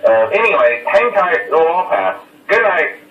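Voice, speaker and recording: A muffled, roomy telephone message with faint noise carries a nervous male employee from a video game. He speaks a little high and hesitates often. He keeps reassuring the listener while sounding awkward and evasive. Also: big pitch swings, measured pace, distant mic, exaggerated voice. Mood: uneasy reassurance. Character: awkward male restaurant employee on recorded phone message, downplaying danger. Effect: telephone recording filter. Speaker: phone caller, restaurant employee briefing a night guard. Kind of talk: video game voice acting as a rambling phone message.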